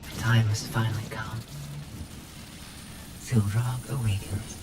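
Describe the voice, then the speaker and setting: A vengeful machine villain from a video game, disdainful of living beings. The voice is low, cold and measured, with an imperious air and music behind it. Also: male voice, little pitch movement. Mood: menacing, triumphant. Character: vengeful, disdainful male droid mastermind scorning organics.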